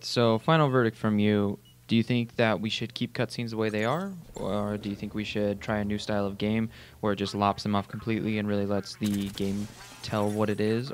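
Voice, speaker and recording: Low, even-toned man over background noise. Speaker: host asking questions. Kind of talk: casual gaming discussion.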